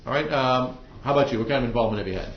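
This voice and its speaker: A man's voice: medium pitch, racing, slight background noise. An American man presiding as judge, giving semi-formal instructions to jurors with frequent ums and uhs. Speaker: judge presiding over jury selection. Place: indoor courtroom. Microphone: room microphones in the ceiling. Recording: dull, echoey courtroom microphone pickup.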